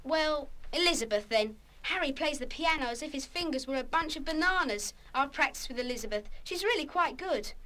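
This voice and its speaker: An actress playing a cocky young schoolboy in a children's radio play, brash and offhand. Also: female voice, high voice, expressive, a little fast, few pauses, fairly bright tone. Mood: grudging. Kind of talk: full-cast children's radio play acting.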